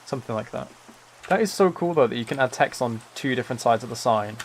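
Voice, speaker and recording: Male streamer, creaky mid-pitched voice, soft-spoken relaxed gaming chat, faint rain-like noise behind. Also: fast. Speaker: livestreamer. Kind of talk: relaxed chat while playing a building game.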